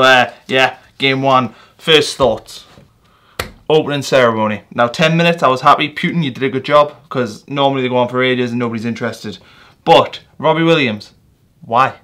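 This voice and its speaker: A man's voice: mid-range voice, normal speed, pushed and bright. A young man with a North East English accent, giving a casual, rambling football match reaction with fillers and self-corrections. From England. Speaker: football fan vlogger.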